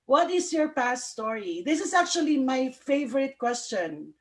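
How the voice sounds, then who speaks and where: A woman's voice: high voice, big pitch swings, normal speed. A woman hosting a live online talk show, bubbly and laughing often, with many fillers. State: sleepy. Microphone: video call.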